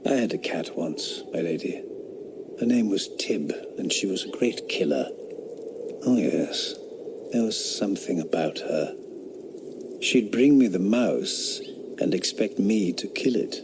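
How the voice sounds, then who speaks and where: A dry, mocking eighteenth-century skeptic. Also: male voice, deep voice, animated, a little slow, background noise. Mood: reminiscing. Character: sardonic skeptical 18th-century philosopher. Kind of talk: radio drama acting. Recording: audio drama with woodland ambience.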